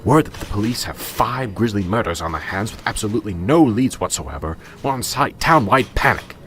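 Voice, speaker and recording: A nervous male police sergeant from a small town voiced by an actor in a radio drama. He is hesitant and eager to please, with a lively, low voice. Faint noise sits behind him. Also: normal speed. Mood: anxious, weary.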